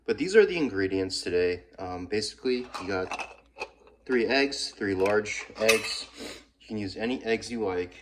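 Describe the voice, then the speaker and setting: A man's voice: fairly low pitch, expressive, unhurried, roomy sound. A man with a congested voice giving a chatty, casual cooking demonstration in his home kitchen. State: congested from a cold. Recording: clear kitchen noise, frying, clatter.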